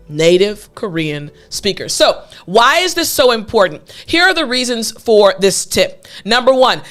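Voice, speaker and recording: A woman's voice: on the high side, a bit bright. A young woman teaching English, emphatic and encouraging, repeating her key points. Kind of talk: scripted lesson to camera.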